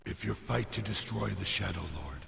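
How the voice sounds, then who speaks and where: Deep, gravelly fantasy narrator. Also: male voice, animated, bright, forceful tone, heavily acted. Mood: grave, urgent. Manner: solemn, portentous, slow and measured. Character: grave male fantasy narrator, solemn war-council storyteller. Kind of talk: dramatic scripted game cutscene narration. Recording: reverberant hall echo, faint noise.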